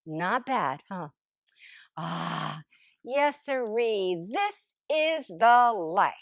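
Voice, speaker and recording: A woman voicing a smug, lazy couch potato in an expressive, theatrical storybook read-aloud. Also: mid-range voice, gravelly, no room sound, studio quality, heavily acted. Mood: self-satisfied. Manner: theatrical, exclamatory, drawn-out emphasis. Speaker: storybook narrator character. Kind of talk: expressive first-person picture book read-aloud.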